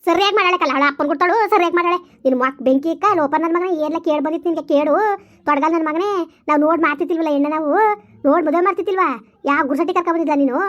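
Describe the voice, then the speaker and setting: A woman doing a shrill, foul-mouthed village elder sister. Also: a bit bright, muffled recording. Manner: berating, abusive, hectoring. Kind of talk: village family drama skit.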